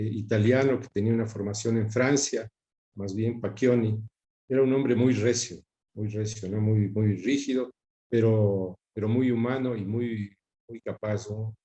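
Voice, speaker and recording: Mellow-voiced Ecuadorian man, halting recollection. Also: on the low side. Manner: halting, pausing to search for words. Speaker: interview guest recalling his father's career. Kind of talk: reflective spoken recollection in an interview.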